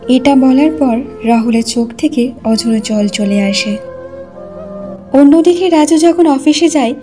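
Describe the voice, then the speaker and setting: A woman's voice: sits high, unhurried, dark tone, faint music behind. A woman narrating a scripted cartoon story.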